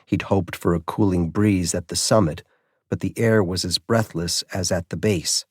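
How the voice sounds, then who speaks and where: Mystery audiobook narrator: adult male, lower register, scripted prose, close dry sound. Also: fairly even intonation, moderate pace, slightly rough, dry recording.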